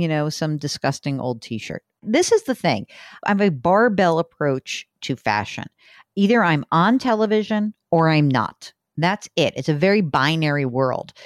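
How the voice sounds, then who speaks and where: A woman from New York hosting a podcast in casual chat, animated, wry and self-deprecating. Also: low-pitched, no echo, clean studio sound. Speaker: podcast host. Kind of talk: casual chat, audience address.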